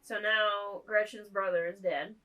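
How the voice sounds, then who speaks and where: A woman's voice: medium pitch, steady tone, unhurried, sharp tone, lo-fi sound. A woman co-hosting a casual movie-watching chat, joking as she narrates the plot aloud. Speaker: co-host. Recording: piano music behind.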